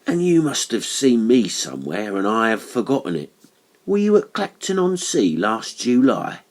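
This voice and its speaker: A man's voice: mid-range voice, huge pitch swings, moderate pace, voice pushed up. A man reading an audiobook horror story, playing an earnest working-class stonemason with a serious, matter-of-fact manner. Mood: puzzled. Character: Atkinson, earnest working-class male monumental mason.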